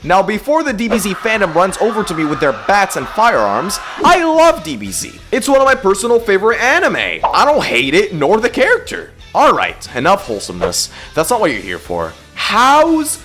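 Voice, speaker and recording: A young adult YouTube host roasting in a sarcastic, mocking scripted commentary, jumping between loud outbursts and low mutters. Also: wildly swooping pitch, moderate pace, hardly any pauses, soft background music.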